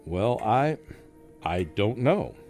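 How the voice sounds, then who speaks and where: A man's voice: on the low side, big pitch swings, slow, darkish tone, soft background music. A man playing a nervous, haunted scholar who narrates a radio drama in dramatic storytelling style. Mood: unsettled. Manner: dramatic first-person storytelling. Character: haunted, nervous male scholar recounting his ordeal.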